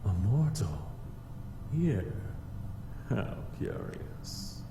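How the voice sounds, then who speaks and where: A man's voice: on the low side, very animated, extremely slow, creaky, mellow, exaggerated voice. A man playing a haughty, disdainful demon in a visual novel game, speaking in a formal, condescending way. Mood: intrigued, menacing. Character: haughty flame-headed male demon, disdainful of mortals. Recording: cavernous long reverb, noisy ambience.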